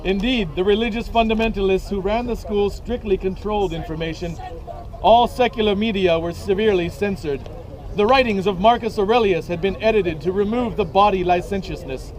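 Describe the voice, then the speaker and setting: A man reading his own comic novel aloud with a dry, deadpan delivery, outdoors at an event. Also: high-pitched, fairly quick. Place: outdoors at a medieval combat event.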